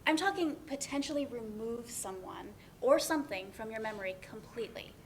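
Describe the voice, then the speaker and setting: A woman's voice: a little high, animated, fairly quick, slight background noise, close to an everyday voice. A woman playing an earnest young professional in a dramatic reading of a stage play, speaking conversationally with small hesitations. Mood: thoughtful. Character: Kat, earnest, apologetic young professional woman, teasing friend.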